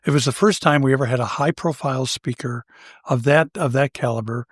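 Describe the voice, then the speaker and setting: An older man with a somewhat high-pitched voice, co-hosting a talk show and telling a personal anecdote in a relaxed, self-deprecating way, chuckling now and then. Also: brisk, no room sound, studio quality. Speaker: podcast co-host. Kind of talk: conversational storytelling.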